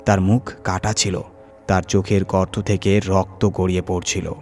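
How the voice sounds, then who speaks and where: A man narrates a horror tale in a dramatic, scripted manner. His voice sits low in pitch, and music runs behind him as he tells the story. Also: little pitch movement, moderate pace, a little mellow.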